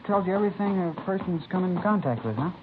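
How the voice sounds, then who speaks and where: A troubled teenage boy in an old radio crime drama. He is evasive and halting. The recording is muffled, narrow and noisy. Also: high-pitched, expressive. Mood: uneasy, probing. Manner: hesitant, halting. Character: troubled seventeen-year-old male student, evasive, confessing to knifings. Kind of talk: 1950s radio drama acting.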